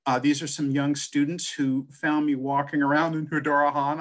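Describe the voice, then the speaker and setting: A middle-aged American man telling his own story as a scholar and translator, earnest and full of uh fillers and restarts, speaking over a webcam video call. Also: medium pitch. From American West. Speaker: translator and scholar giving a talk. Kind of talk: personal presentation on a video call.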